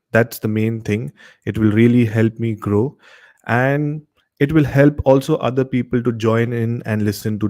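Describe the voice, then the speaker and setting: Mellow-voiced Indian man hosting. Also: mid-range voice. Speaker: horror story show host. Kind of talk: casual show hosting addressed to listeners.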